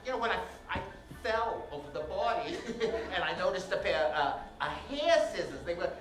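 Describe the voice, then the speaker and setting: A woman's voice: fairly low pitch, lively intonation, moderate pace, close to an everyday voice. A woman playing a flustered, eager amateur sleuth who stammers and repeats herself in a stage radio drama. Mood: conspiratorial. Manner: stammering, breathless repetition. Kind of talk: 1940s-set radio drama acting on stage. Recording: echoey theater with loud music.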